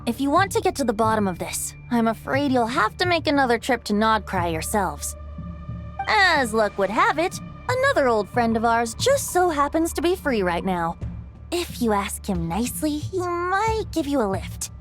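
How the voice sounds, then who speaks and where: A woman's voice: on the high side, very animated, moderate pace, fairly bright tone, soft background music. A woman voicing a playful young male bard who talks in a lilting, teasing storyteller way in a video game.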